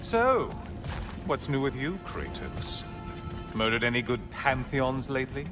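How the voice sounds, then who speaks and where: An actor playing a vain, mocking sun god in a video game, with a sardonic, taunting and flamboyant manner. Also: male voice, medium pitch, big pitch swings, unhurried, music in the background. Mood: taunting, sarcastic. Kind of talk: cinematic video game acting.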